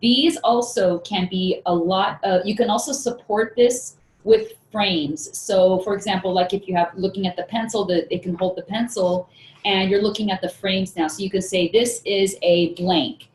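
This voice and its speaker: A woman's voice: mid-range voice, moderate pace, a little mellow, reverberant, slight background noise. A woman presenting a teaching webinar in a conversational way, with many fillers and restarts.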